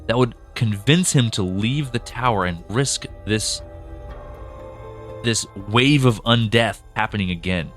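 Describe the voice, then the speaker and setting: A man's voice: lowish voice, a little slow, a bit bright, faint music behind. A man co-hosting a podcast, chatting casually about a story's characters, laughing often and restarting sentences. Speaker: podcast co-host. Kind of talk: casual behind-the-scenes discussion.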